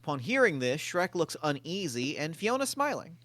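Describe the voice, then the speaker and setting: A man's voice: fairly high pitch, sing-song, normal speed, a bit bright, no room sound. A man reading stage directions aloud at a table read in a plain, matter-of-fact way.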